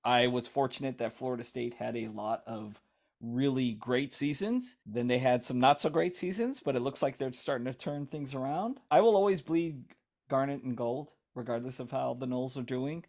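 American guy co-hosting a podcast, average pitch, just chatting casually. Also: moderate pace, darkish tone. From Hawaii, United States. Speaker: podcast co-host. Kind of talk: casual chat.

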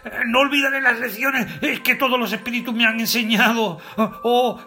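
High-pitched, stammering old miser. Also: male voice, steady tone, normal speed, sharp tone, soft background music, heavily acted. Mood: pleading, distraught. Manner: stammering, exclaiming. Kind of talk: amateur audio drama acting.

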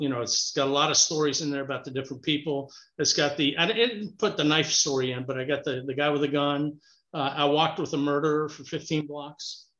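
Animated storyteller man on an echoey call. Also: fairly high pitch. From Cuba. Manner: animated storyteller, talks with his hands. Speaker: guest, author. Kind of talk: casual storytelling interview. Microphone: remote call. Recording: echoey, muffled call audio.

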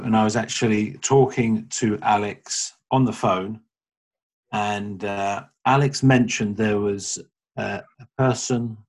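A middle-aged man from England speaks as a guest on a video call. His voice is low and a little husky, and his measured sentences trail off quietly at the ends. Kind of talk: casual conversation.

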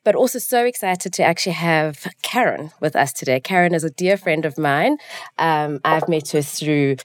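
A woman's voice: low-pitched, moderate pace, no room sound. A woman hosting a casual interview in a studio, speaking in an effusive way. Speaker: podcast host. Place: recording studio.

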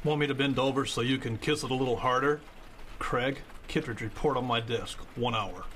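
An actor playing a gruff, sarcastic, bullying male boss in a modern audio drama. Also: mid-range voice, brisk, fairly bright tone, a little hiss or hum, close to an everyday voice. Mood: curt, menacing.

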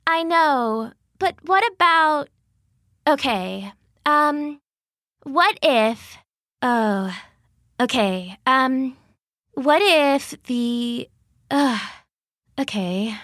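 A woman voicing Goldie, a dim but eager female bear who talks slowly and halts over her words. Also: high voice, expressive, a bit bright, no room sound, clean studio sound, voice pushed up, exaggerated voice. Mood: struggling. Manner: halting, slow, full of fillers.

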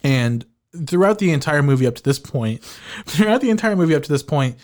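Animated male podcast co-host. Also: a little high, fairly quick. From Filipino heritage, living in the United States. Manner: animated, stumbling restarts, laughs often. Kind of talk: casual movie review chat.

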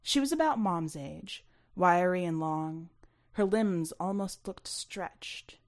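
A woman's voice: on the low side, lively intonation, slow. A woman reading her own short story aloud as scripted first-person narration.

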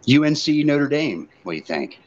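A man's voice: fairly high pitch, big pitch swings, normal speed. A man hosting a casual college football talk show, setting up games and asking for opinions. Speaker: sports show host. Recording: dull lo-fi audio, faint background noise.

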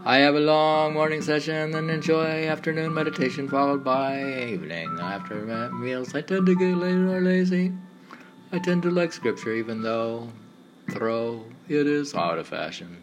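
A man reading his own meditative poetry in declarative phrases with pauses, in a recitation style. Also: fairly high pitch. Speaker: poet reading own work. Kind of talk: poetry recitation over background music. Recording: music playing under the voice. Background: background music.

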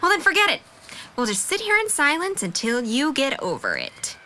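Actress as candid young woman friend in an audio drama, highish clear-cut bright voice, composed, slight noise. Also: huge pitch swings, full character voice. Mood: firm. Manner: candid, measured.

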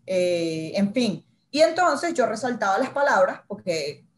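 A woman who works as a TV news reporter tells her story in a conversational interview, acting out the dialogue with lively energy. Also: medium pitch, measured pace. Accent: Spanish-speaker's accent in English.

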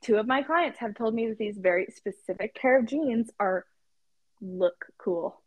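A woman's voice: fairly high pitch, unhurried, husky, no room sound. Chatty, rambling young woman. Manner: chatty, laughing, rambling storyteller. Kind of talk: casual chat.

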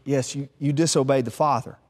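A man voicing a patient, caring eldest brother in a parable, gentle and firm. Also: medium pitch, fairly even intonation, lightly acted. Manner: gentle, measured, soft-spoken. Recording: long hall reverb.

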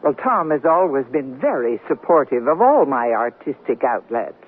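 A woman's voice: a bass voice, big pitch swings, normal speed. Through a thin, faintly hissy radio recording comes a newly married artistic woman. She is breezy and affectionate, with widely swinging intonation in the manner of seventies radio drama. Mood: bright, assured. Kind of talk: 1970s radio drama acting. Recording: muffled, noisy old radio broadcast.

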